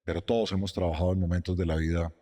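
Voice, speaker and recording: A middle-aged man, a company president, telling his story in a measured, reflective way during an interview in his office. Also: sits low, level tone, mellow, in a large hall. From Costa Rica, raised in Guatemala and Colombia.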